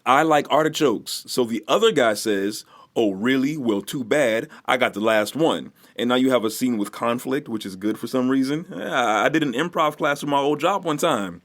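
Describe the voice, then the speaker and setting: A man playing an affable, confused newcomer to tabletop gaming in a comedy audio drama, awkward and deadpan. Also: medium pitch, normal speed, few pauses, lightly acted. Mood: affable, rambling. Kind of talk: scripted naturalistic comedy audio drama acting.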